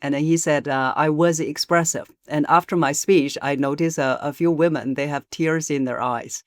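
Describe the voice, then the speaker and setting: A woman voice coach, a podcast guest, explaining in a measured way with frequent pauses and hesitations. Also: deep voice, fairly even intonation, no room sound, clean studio sound. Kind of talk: podcast interview.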